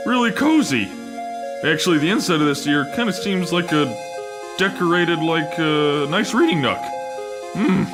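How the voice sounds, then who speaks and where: A man playing an easygoing, dopey young teammate in an audio drama, casual and deadpan. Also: fairly high pitch, moderate pace, music in the background, lightly acted. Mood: drowsy, content.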